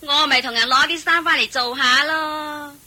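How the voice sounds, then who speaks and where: An actress playing a grudging, hard-up young wife in a radio drama, speaking curtly with a Hong Kong Cantonese accent. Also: female voice, high-pitched, moderate pace, pushed and bright. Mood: curt, disdainful. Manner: grumbling, curt, sighing. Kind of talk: Chinese radio drama acting.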